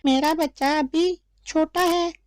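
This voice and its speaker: A woman's voice: high-pitched, fairly even intonation, slow, darkish tone, dry recording, clean studio sound. A woman voicing a watchful, caring mother bird who speaks instructively in a cartoon story. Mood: worried, protective. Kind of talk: slow cartoon story dialogue.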